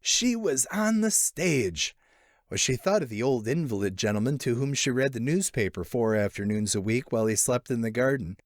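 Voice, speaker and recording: Male audiobook narrator, animated pitch, dramatic phrasing, close dry sound for literary fiction. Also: normal speed, no room sound. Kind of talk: expressive scripted narration of a literary short story.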